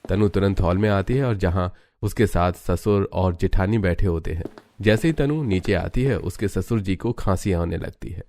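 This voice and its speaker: A man's voice: deep voice, normal speed, warm tone, a little hiss or hum. A man narrating a scripted cartoon family story as the storyteller. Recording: music behind the voice.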